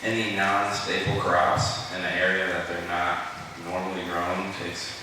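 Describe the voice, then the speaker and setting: A man with a very deep, flat voice speaks calmly and deliberately as a panelist. He is far from the mic in a large echoing hall with clear noise behind him. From grew up partly in Japan. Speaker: farmer, panelist. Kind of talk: measured panel talk.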